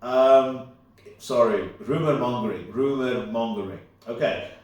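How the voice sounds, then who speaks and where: A man's voice: mid-range voice, measured pace, a little mellow. A man whose first language is Afrikaans, rambling casually through a solo commentary with lots of fillers and asides. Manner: unscripted, full of fillers and asides. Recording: very echoey room, far from mic.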